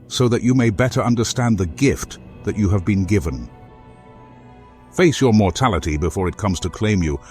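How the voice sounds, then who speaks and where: A man's voice: lowish voice, a little mellow, natural voice. A man voicing a defeated fantasy adversary in a video game, with solemn, florid, philosophical and resigned speech.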